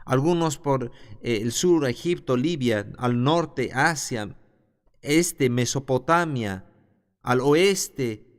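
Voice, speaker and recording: A man teaching a Bible class who reads scripture aloud and then explains it, stopping now and then to restart a phrase. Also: mid-range voice. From the south of his country.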